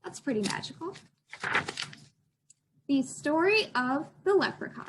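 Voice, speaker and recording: A woman's voice: sits high, very animated, unhurried, noisy background. A woman librarian reading aloud for a children's storytime, expressively addressing young listeners.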